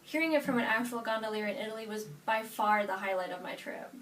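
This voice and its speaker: A woman's voice: mid-range voice, fast. A young American woman, about eighteen, giving a prepared personal narrative speech from notes. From United States, Nebraska. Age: 18, young adult. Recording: echoey room, distant mic, faint noise.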